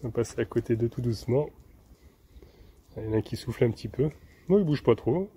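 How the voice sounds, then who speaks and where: A man's voice: medium pitch, fast, breathy edge. A man in his thirties from France, chatting casually to his dog and viewers as he walks through town streets, filmed on a handheld phone. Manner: relaxed, chatty, talks to his dog and viewers, frequent hesitations. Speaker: vlogger. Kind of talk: casual walking vlog. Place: outdoors in town streets and a field, then inside a camper van. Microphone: handheld phone camera at arm's length.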